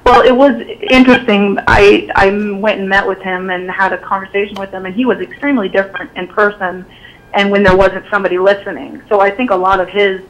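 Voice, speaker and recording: Female caller, hoarse from a cold, flat delivery, hesitant with lots of ums. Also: medium pitch, brisk, rough recording. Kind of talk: phone-in conversation.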